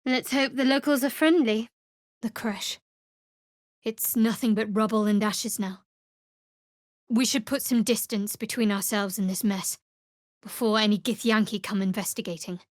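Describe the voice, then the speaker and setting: A woman playing a guarded, sardonic young cleric of a dark goddess in a fantasy video game, speaking in a dry, measured, wry way. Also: medium pitch, no echo, close to an everyday voice. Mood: wry, composed.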